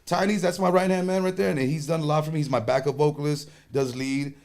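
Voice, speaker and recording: A man speaking, a conjunto band leader chatting casually as a guest, animated and joking, with quick bursts. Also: fairly high pitch. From Texas. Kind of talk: casual interview chat.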